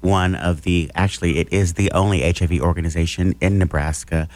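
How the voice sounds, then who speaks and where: A very deep-voiced Midwestern man talks as an upbeat radio guest about his outreach work. His enthusiasm comes through in a strong, warm delivery. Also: steady tone, a little fast, dry recording. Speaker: health outreach coordinator. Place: radio studio.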